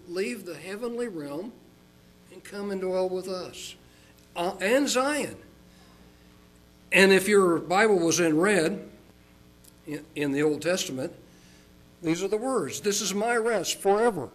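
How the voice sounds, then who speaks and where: An older man from Oklahoma preaching a sermon as a pastor, folksy and chatty, slowing down for emphasis. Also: lively intonation. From Oklahoma, United States. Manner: folksy and chatty, with chuckles and fillers; slows and rises in pitch for emphasis.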